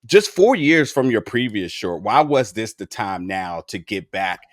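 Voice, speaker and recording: A man's voice: fairly low pitch, sing-song, measured pace, dry recording, clean studio sound. A man interviewing a filmmaker, asking long rambling questions full of stumbling repeats.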